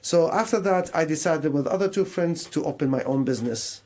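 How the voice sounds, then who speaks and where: Male radio guest, middle-aged, Syrian Arabic accent, voice a little high, halting second-language English, conversational storytelling. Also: expressive, darkish tone. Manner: halting, searching for words in non-native English. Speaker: radio interview guest, mental health charity service manager.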